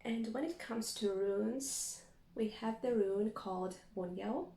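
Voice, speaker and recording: Woman doing a tarot reading for her viewers, thinks out loud, soft warm tone, roomy echo. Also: medium pitch, takes it slowly. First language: Latvian. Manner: reflective, thinking aloud while reading cards. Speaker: tarot reader.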